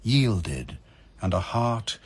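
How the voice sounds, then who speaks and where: A man narrating dramatic verse as a solemn, measured storyteller. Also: lowish voice, big pitch swings, a little hiss or hum.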